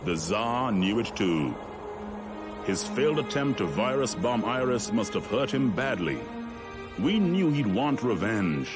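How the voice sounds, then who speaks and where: Loud music surrounds a solemn veteran fighter pilot of a rebel colonial fleet. His voice is fairly low. He tells his war story like a video game cutscene narrator, grave, measured and full of portent. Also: big pitch swings. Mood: weary, grim. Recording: voice under loud background music.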